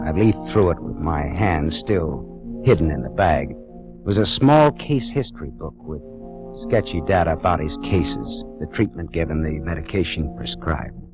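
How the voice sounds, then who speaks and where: Brief: wisecracking gumshoe, low and dry, vintage radio with music. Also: male voice, exaggerated voice. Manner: dry, wry, sardonic delivery. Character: hard-boiled wisecracking private detective, man. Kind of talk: 1940s radio drama, first-person narration. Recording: dull old radio broadcast with music bed.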